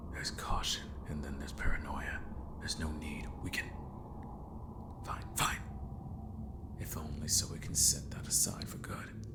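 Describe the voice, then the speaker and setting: A man playing a gentle, possibly mad villain mentor in a fantasy ASMR roleplay, speaking formally and theatrically, with scheming courtesy. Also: heavily acted. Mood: agitated, self-arguing. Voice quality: deep, gravelly. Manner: slow, formal, theatrical, sometimes muttering to himself.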